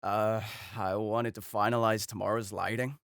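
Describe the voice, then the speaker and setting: A man voicing a big, docile young wolf man, speaking low and hesitantly. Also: level tone, normal speed, creaky, a bit bright, smooth, no room sound, clean studio sound.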